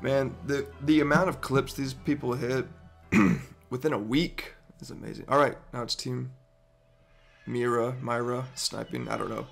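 A hoarse young man in his twenties, with his voice nearly gone, giving excitable, swear-filled reaction commentary from a headset in his bedroom. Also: medium pitch, measured pace, soft background music. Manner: excitable, swears often, stammers and laughs. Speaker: YouTuber reacting to a gaming montage. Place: bedroom indoors. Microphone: over-ear headset.

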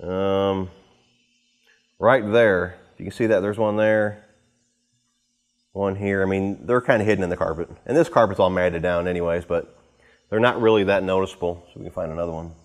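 A man in his thirties giving a casual, unscripted walkthrough to camera in an informal, rambling way, with pauses as he handles gear. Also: low voice, steady tone. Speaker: vlogger giving a boat tour. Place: home garage with the door open.

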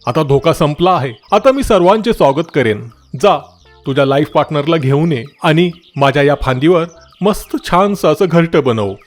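A man voicing a stern but secretly kind talking tree in a children's moral story cartoon, with emphatic, drawn-out scolding. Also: on the high side, expressive, faint music behind, natural voice. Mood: welcoming.

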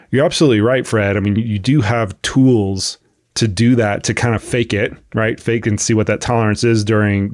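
A lower-pitched man with a slight cold, talking through ideas in a casual podcast chat. He explains at length and restarts his sentences often. The sound is dry and close, with no room echo. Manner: explanatory, thinks aloud with restarts. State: has a cold, sniffling. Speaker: podcast host, coach. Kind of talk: casual expert discussion.

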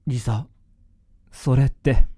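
A man acting in a radio drama as a middle-aged father of a grown daughter, with a husky voice. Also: mid-range voice, steady tone, takes it slowly, warm tone. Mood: concerned.

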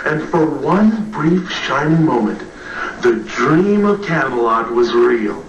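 An actor playing an earnest younger children's TV storyteller, narrating a storybook tale in a grand, dramatic way. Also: male voice, on the high side, lively intonation, slow, recorded from a distance. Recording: muffled, noisy, roomy old TV tape.

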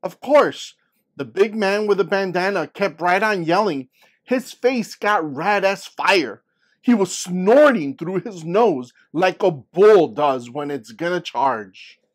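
A man's voice: high-pitched, animated, slow, pitched above the performer's own voice, exaggerated voice. A man reading a picture book as a young girl earnestly and expressively telling her story. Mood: animated, tense. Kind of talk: scripted picture-book read-aloud.

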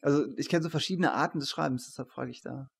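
A man in his forties with a slightly high voice, creaky and husky. He puts casual, conversational questions as an interview host. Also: fast talker. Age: 40s. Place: small attic room, indoors. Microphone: shared desk microphone.

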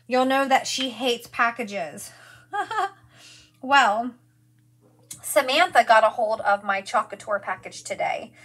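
A woman's voice: on the high side, a bit bright. A woman in her forties hosting a live craft demonstration from her studio, chatty and gushing, greeting viewers by name as she works.

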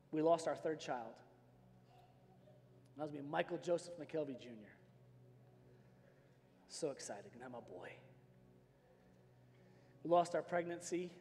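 A middle-aged American man preaching a personal, emphatic sermon with dramatic dynamics, as a pastor on a large church stage. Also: fairly high pitch. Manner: emphatic, dramatic swings from hushed to shouting. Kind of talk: impassioned sermon with personal storytelling. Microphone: worn microphone, hands free. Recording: reverberant large hall sound system.

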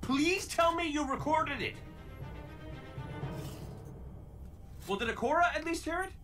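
An actor playing a wisecracking male robot gunslinger in a video game, full of quick sarcastic quips. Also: extremely high pitch, expressive, over music. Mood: teasing banter. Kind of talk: video game cutscene acting.

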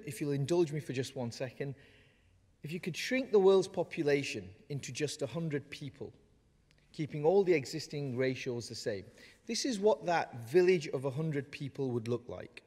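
Earnest male speaker, measured delivery, big reverberant hall. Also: medium pitch, a little mellow. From North West England. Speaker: award recipient giving a graduation speech. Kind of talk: prepared ceremonial speech. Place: large wood-panelled ceremony hall. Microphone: lectern microphones.

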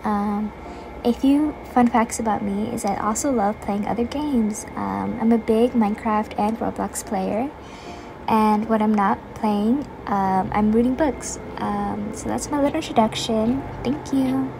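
A woman's voice: a little high, fairly even intonation, measured pace, gravelly. Teen American girl, friendly and hesitant, noisy recording. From United States. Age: teens. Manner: friendly, many hesitant ums. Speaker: contestant. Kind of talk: casual self-introduction.

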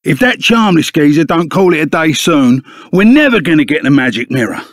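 A man's voice: fairly high pitch, big pitch swings, fairly quick, dry recording, clean studio sound. A man voicing a burly, blustering but loyal bandit sidekick in a video game, with a Cockney accent and blunt, slangy manner. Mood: grumbling, blunt. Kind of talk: animated video game character acting.

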